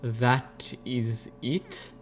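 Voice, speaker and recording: A man's voice: medium pitch, little pitch movement, normal speed, a little mellow, faint music behind, lightly acted. A man voicing a proud, wary female zebra, a guarded newcomer in an audiobook fantasy story. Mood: cautious.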